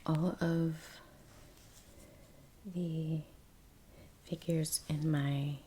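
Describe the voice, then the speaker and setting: A woman speaking in a soft, breathy near-whisper as the host of a relaxing ASMR painting channel. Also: deep voice, level tone, drawn-out words, slight background noise. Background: faint ambience, brush sounds.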